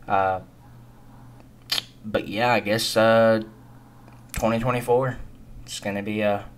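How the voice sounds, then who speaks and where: A man's voice: on the low side, steady tone, slow pace. A man of twenty-one giving casual, unscripted gaming commentary in a hesitant, rambling and resigned manner. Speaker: gaming video creator.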